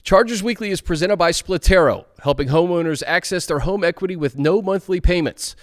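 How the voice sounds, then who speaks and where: A man's voice: sits high, brisk, dry recording, clean studio sound. A man reading a scripted sponsor message as an advertisement announcer.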